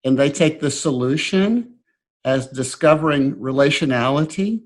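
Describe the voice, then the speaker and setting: An older man hosts the conversation and asks searching questions. His speech is halting, with frequent restarts. His voice sits a little high for a man. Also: animated. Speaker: interviewer host. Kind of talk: interview questions.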